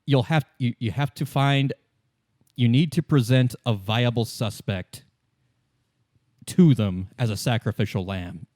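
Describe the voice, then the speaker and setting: A man running a tabletop roleplay as game master, laying things out in measured, deliberate explanatory phrases. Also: medium pitch, a little mellow, no room sound, studio quality.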